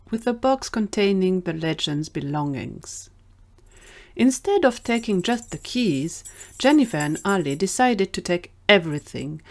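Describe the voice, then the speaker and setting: A woman narrating a scripted audio drama as its storyteller. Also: fairly low pitch.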